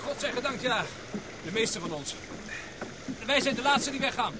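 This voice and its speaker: A gallant, wisecracking boatman in an adventure radio play. His voice is very high for a man, hearty and wry. Loud noise covers the old recording, with boat and water effects. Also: lively intonation, moderate pace. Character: jaunty, gallant, wisecracking male boatman among survivors. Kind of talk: Dutch radio drama acting.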